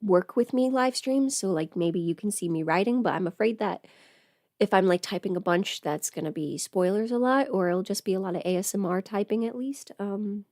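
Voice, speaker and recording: A woman's voice: mid-range voice, moderate pace, darkish tone, no room sound. Dry-sounding woman, rambling casual livestreamer. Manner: rambling, self-interrupting, thinking aloud. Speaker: livestreamer chatting with viewers. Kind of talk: casual get-ready-with-me livestream chat.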